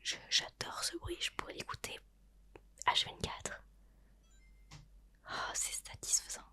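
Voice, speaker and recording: Whispering young woman reading softly. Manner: soft whisper with chatty asides and self-corrections. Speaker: ASMR creator. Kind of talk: whispered ASMR roleplay reading a retro gaming magazine.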